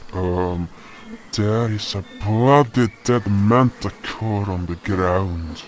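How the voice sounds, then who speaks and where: Gruff older male fantasy adventurer, acted by a man: wary, slow-spoken, over noisy outdoor ambience with insects. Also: on the low side. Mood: grave, wary. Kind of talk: fantasy audio drama acting.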